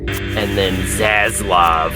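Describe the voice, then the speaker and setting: A man's voice: on the low side, fairly even intonation, very slow, loud music behind the voice. A man in casual, slangy, laid-back conversation as a podcast co-host. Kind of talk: casual film and TV chat.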